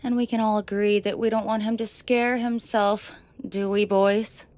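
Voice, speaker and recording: A woman's voice: medium pitch, level tone, a little mellow, close to an everyday voice. A woman playing a female mafia boss in a scripted roleplay audio drama, controlled and commanding, menacing to her men yet protective and tender. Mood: menacing, angry. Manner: controlled, commanding, slow and deliberate, often dropping to whispers. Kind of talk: scripted roleplay audio drama, intimate direct address to listener.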